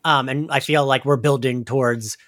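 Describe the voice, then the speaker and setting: A man's voice: mid-range voice, fairly even intonation, moderate pace, fairly bright tone, dry recording. Dry, close-sounding male podcast host. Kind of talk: casual podcast hosting.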